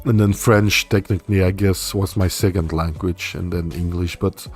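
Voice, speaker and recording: A man's voice: deep voice, normal speed, soft background music. A young man whose first language is Dutch, hosting a casual livestream and answering viewer comments. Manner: relaxed, rambling, reads viewer comments aloud and answers. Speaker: livestream host.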